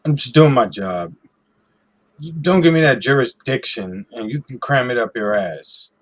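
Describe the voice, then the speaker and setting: Husky, cocky man playing a police lieutenant. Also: medium pitch, animated, normal speed, natural voice. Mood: dismissive, cocky. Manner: dismissive, brash. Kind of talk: amateur live radio-play reading.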